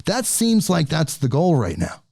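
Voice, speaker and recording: Lively, earnest man hosting a podcast. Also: on the high side, measured pace, vocal fry, dry recording, clean studio sound. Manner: enthusiastic, earnest interviewer. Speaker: podcast host. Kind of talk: casual spiritual conversation.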